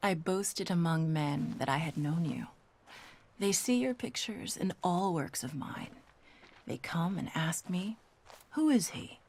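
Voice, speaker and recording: A woman reading poetry as a wistful, devoted poet, breathy, hushed and deliberate. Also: fairly low pitch, animated. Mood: wistful, tender. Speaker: voice actor reading quotations for game audio logs. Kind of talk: intimate scripted poetry reading.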